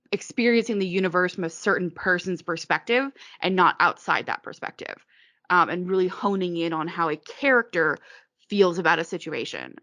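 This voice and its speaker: Woman author in an interview, low voice, dry close sound, rambling chatty delivery with hiccups. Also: a bit bright, no echo. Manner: rambling, frequent "like" and restarts. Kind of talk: casual interview answers.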